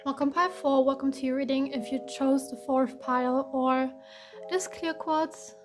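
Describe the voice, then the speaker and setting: Woman, hoarse, cracking voice, high-pitched, monotone, casual tarot reading, reassuring. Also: normal speed, loud music behind the voice. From Germany. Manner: reassuring, repeats herself, many fillers. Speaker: tarot reader.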